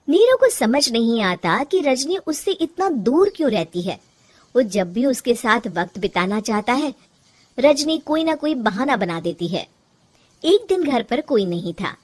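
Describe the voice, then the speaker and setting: A woman narrating a scripted moral story for children as the storyteller. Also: a little high.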